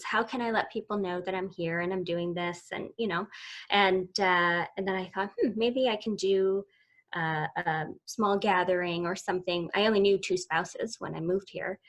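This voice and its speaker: A woman's voice: lowish voice, normal speed. A woman, telling her story as an interview guest in a rambling, casual way. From Eastern Canada. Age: 40s. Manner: rambling, many restarts and "so"s. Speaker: guest, summit founder.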